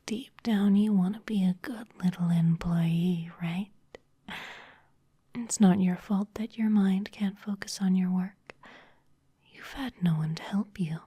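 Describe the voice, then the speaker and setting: A woman's voice: medium pitch, dark tone, husky. A woman playing a seductive, domineering vampire office boss in an ASMR roleplay, whispering breathily in a teasing, commanding manner. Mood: stern, teasing.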